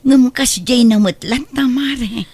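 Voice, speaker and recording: A woman's voice: a little high, takes it slowly, a little mellow, breathy edge, no echo, heavily acted. A woman playing a tired, self-sacrificing mother in a radio drama, with a Filipino accent and a placating manner. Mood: pleading. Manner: placating, long-suffering. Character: weary, self-sacrificing mother working abroad. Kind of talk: Ilocano radio drama acting.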